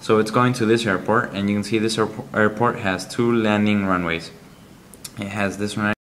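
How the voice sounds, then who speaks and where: A man reviewing a game app, walking through its screens in a halting, explanatory way with frequent ums. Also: on the low side, fairly even intonation, fairly bright tone, slight background noise.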